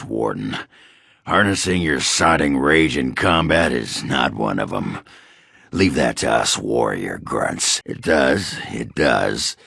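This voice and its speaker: Gruff, crude dwarf berserker for a fantasy game: extremely low, raspy, blunt and hard-drinking. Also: male voice, fairly bright tone, dry recording, clean studio sound, heavily acted. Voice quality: gravelly, raspy. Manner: crude, blunt, swearing, grunting. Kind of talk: video game character acting.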